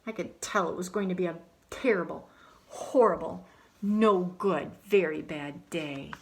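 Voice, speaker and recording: A woman's voice: fairly low pitch, a little slow, vocal fry, a little hiss or hum, voice pushed down. A woman reading the lines of a grumpy young boy from a picture book, complaining and emphatic. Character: grumpy young boy having a bad day. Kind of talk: picture book read aloud.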